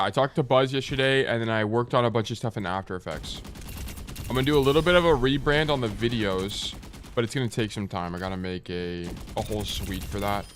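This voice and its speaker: A man's voice: mid-range voice, slight background noise. A young man streaming and coaching a game, chatting casually with a stuffy, nasal morning voice and rambling as he answers chat. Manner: rambling, joking, reads chat aloud and answers.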